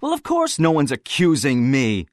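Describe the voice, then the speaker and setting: A man's voice: fairly high pitch, wildly swooping pitch, normal speed, no echo, clean studio sound, pitched above the performer's own voice. A man voicing a guarded, defensive teenage boy answering an accusation in an audiobook.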